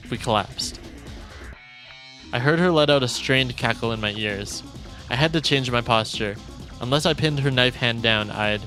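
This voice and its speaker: A man's voice: medium pitch, pushed and bright. A man streaming a game, expressively reading visual novel narration aloud. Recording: dry close mic, faint game music.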